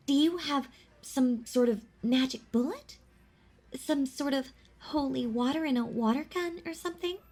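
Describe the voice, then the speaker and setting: A woman playing a possessive, teasing vampire in a roleplay audio drama, with a mocking, condescending manner and a breathy, intimate delivery. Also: high-pitched, darkish tone, heavily acted. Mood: mocking, challenging. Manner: mocking, condescending, slow and deliberate. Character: possessive, teasing female vampire toying with her prey.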